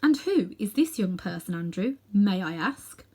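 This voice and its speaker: A woman's voice: medium pitch, big pitch swings, normal speed, warm tone, slightly rough. Casting: tough old storybook aunt, voiced by a woman, prim, bossy, icy disapproval, scolding.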